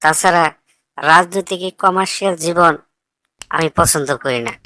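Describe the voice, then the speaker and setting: A woman's voice: lowish voice, normal speed, sharp tone. A woman voicing a defiant, idealistic young graduate in a cartoon, arguing in a sarcastic, lecturing way. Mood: firm. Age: young adult. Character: defiant, idealistic grown child of a politician, foreign-educated. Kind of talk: scripted cartoon dialogue.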